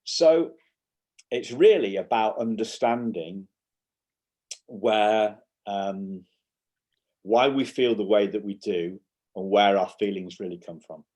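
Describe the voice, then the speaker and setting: A man's voice: lowish voice, slow, pauses often, a little mellow. A man in his fifties with a Yorkshire accent, a guest explaining his school workshops in a casual interview, full of restarts and fillers. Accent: Yorkshire English. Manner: explains in short bursts with many restarts and fillers. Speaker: guest, educational publisher and school workshop speaker. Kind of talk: conversational interview.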